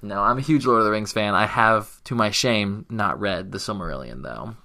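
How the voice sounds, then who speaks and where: Man, podcast co-host, bright forward voice, casual chat, playful and joking. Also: on the low side, normal speed. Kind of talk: casual podcast chat about games and movies.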